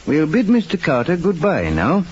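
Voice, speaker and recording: An actor playing a calm, authoritative detective in an old-time radio drama, speaking in a measured, formal, courteous way. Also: male voice, fairly high pitch. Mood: assured. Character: calm, authoritative male detective, courteous but firm investigator. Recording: muffled, noisy old radio recording.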